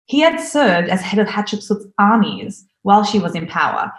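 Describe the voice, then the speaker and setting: A woman in her thirties, from Australia, giving a recorded conference talk from home in an eager, lively way. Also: mid-range voice, moderate pace, reverberant. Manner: enthusiastic, lively presenter. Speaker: conference speaker.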